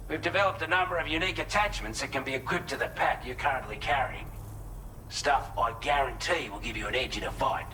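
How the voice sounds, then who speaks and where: A forceful, bright-edged Australian man, played by an actor, briefing a courier in a video game. He is plain-spoken and earnest, talking conversationally at an ordinary male pitch. Faint background ambience sits under the voice. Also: a little hiss or hum, lightly acted, rough recording. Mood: helpful, matter-of-fact. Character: matter-of-fact male shelter resident, allied quartermaster briefing a courier. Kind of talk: video game cutscene dialogue, mission briefing.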